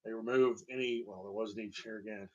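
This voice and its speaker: A man telling a personal story in a halting, digressive monologue with a wry, emphatic manner. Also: on the low side, steady tone, muffled recording. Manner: halting, digressive, wry, chuckling at grim details. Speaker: storyteller and host. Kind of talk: rambling personal monologue about own medical history.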